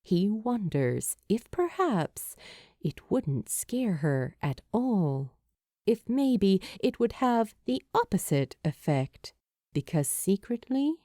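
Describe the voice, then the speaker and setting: Female narrator for fiction audiobook: unhurried, soft-edged tone, very dry intimate sound. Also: medium pitch, dry recording. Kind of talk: scripted story narration.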